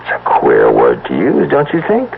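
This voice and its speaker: An actor in a radio drama playing a worried, skeptical husband who talks in a questioning, impatient, emphatic way. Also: male voice, a bass voice, huge pitch swings, normal speed, vocal fry, full character voice. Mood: probing. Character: skeptical, worried husband pressing for rational answers. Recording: tinny, band-limited, noisy old radio broadcast.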